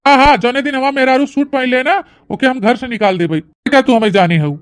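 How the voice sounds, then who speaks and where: A woman's voice: high voice, quick pace, lightly acted. A woman voicing a bossy, stubborn village man in a comic family cartoon, blustering and argumentative, with an Awadhi accent. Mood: bossy, mocking. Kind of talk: rustic comedy cartoon dialogue.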